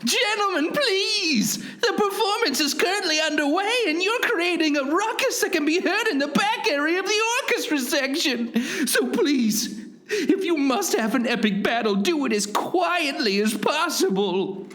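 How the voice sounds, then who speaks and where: Woman playing a very high-pitched, flustered usher. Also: animated, measured pace, a bit bright, exaggerated voice. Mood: exasperated scolding. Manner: prim, pleading, exasperated. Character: flustered, scolding theatre usher hushing brawlers. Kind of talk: comedic audio drama acting.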